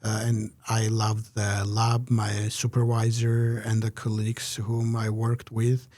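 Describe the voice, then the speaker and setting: A man giving measured, reflective interview answers, pausing often with "uh" between phrases. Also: fairly low pitch, monotone, a little mellow, no echo. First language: Georgian. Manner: measured, many "uh" pauses. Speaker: interview guest, researcher.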